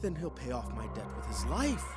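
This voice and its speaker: An actor playing a flustered, two-faced, scheming boss in a radio comedy, exclaiming theatrically. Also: male voice, mid-range voice, big pitch swings, fairly quick, loud music behind the voice, lightly acted. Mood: scheming, vengeful. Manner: theatrical, exclaiming, stammering cover-ups.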